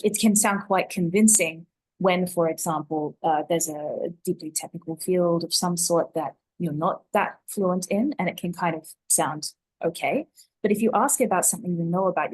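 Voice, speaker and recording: Female expert panelist, slightly low voice, measured and articulate, unscripted conference explanation. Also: poor audio.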